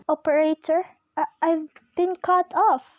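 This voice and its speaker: A woman's voice: very high-pitched, flat delivery, a little slow, dull audio. A woman in an amateur radio play acting an anxious, demanding invalid wife, fretful and imperious, stammering at times. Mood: agitated.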